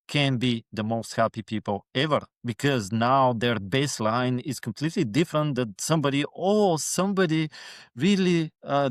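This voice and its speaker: A man's voice: medium pitch, dry recording, studio quality. A man being interviewed as a guest on a casual podcast, giving earnest motivational advice with lots of fillers.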